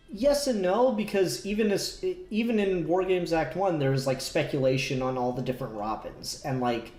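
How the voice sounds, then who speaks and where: A man's voice: fairly high pitch, moderate pace, darkish tone, faint music behind. Rambling man in an echoey room. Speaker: podcast co-host. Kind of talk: casual comic book discussion.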